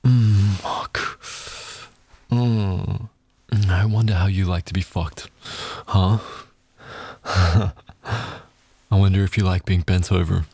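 A man with a husky, breathy voice that sits low in his register. He speaks in a dominant, taunting tone, close and intimate, breaking up his lines with heavy breaths and muttered exclamations. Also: fairly even intonation, takes it slowly, long pauses, dark tone, no echo. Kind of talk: scripted adult audio roleplay.

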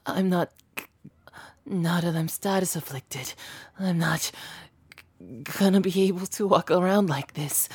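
A flustered young man in a dress, voiced by a woman, pleading in a very husky, breathy voice that often drops to a whisper. His tone sits fairly low for the voice, and the words come slowly, broken by stammers and restarts. The delivery is intimate, as in an audio roleplay. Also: no echo, heavily acted.

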